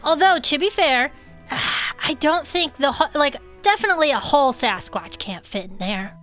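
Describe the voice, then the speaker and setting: Woman, high voice, swooping expressive delivery, playful comedy podcast host, faint background music. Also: moderate pace. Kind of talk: comic reading aloud of a report with chatty asides.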